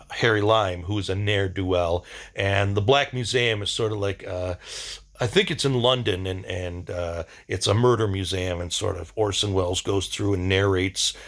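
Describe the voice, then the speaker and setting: A man's voice: lowish voice, fairly bright tone. A man giving a casual, rambling diary-style monologue, enthusiastic and informal, with some slang. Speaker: video diary host.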